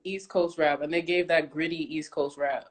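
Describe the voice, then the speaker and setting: A woman's voice: sits low, steady tone, moderate pace. A woman hosting a casual interview podcast, talking informally with slang. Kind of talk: casual chat.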